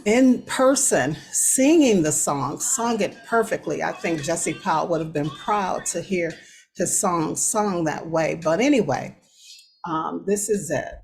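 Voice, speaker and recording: An American woman hosting a talk show, giving casual news commentary in a conversational, unhurried way with hesitant pauses between phrases. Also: on the low side, breathy edge. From United States.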